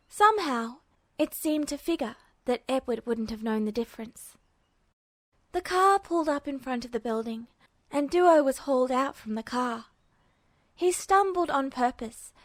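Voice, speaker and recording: Woman, high-pitched voice, scripted story narration, close dry recording. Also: dry recording. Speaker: narrator, podfic reader. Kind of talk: scripted narration of fan fiction.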